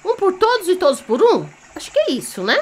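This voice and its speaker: A woman's voice: very high-pitched, fairly quick. A Brazilian woman telling a children's story theatrically and off the cuff, in a conversational way that checks in with listeners. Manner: animated, conversational, addressing listeners with check-ins.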